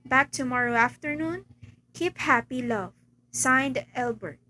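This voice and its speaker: A young woman playing a formal, businesslike telegraph clerk in a radio play, reading out in a measured way. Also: high-pitched, bright, forceful tone, slight background noise. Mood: formal, detached. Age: teenager.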